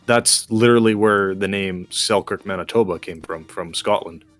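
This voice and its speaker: A man's voice: low-pitched, normal speed, loud music behind the voice. A man chatting casually while playing a game, musing and explaining his thinking as he goes. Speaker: gamer co-hosting a gameplay video.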